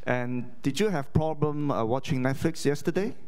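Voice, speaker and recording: A man's voice: mid-range voice, normal speed, a little mellow. A man from Hong Kong opening his conference talk, friendly and asking the audience questions. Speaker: conference speaker. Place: conference hall.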